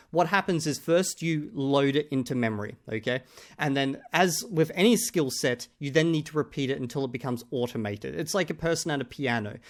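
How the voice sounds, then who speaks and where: A man reacting to another creator's video in casual, argumentative commentary, often pausing on "okay?" to drive his points home. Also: medium pitch.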